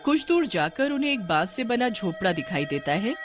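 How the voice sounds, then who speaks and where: A woman telling a cartoon horror story with expressive storybook narration. Her pitch moves in a lively way through each line, and faint music plays underneath. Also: moderate pace.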